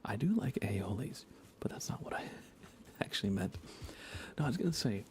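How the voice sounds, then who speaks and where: An American man speaking in soft, breathy whispers, chatting casually and unhurriedly to viewers of an ASMR livestream. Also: fairly low pitch, expressive, soft background music. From United States. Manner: hushed, unhurried, conversational.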